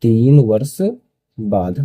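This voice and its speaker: Male maths tutor, early 20s, very mellow dark voice, dry recording, repetitive question-and-answer explaining. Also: lowish voice, very slow, no room sound. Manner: repeats phrases, asks and answers own questions. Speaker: maths teacher. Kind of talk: step-by-step school maths lesson.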